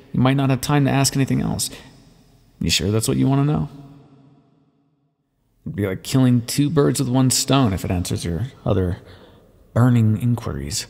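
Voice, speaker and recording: Male actor as weary, brusque scientist captor for audio roleplay; gravelly, dry delivery with a swinging pitch. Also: darkish tone. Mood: reluctant, guarded. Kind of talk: ASMR roleplay monologue, intimate acting. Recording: long reverberant echo.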